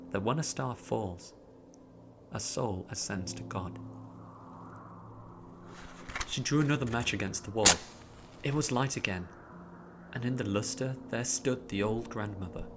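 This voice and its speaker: A man narrating a story in a dramatic, expressive audiobook style, drawing out words theatrically. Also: fairly low pitch, background noise. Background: music behind the voice.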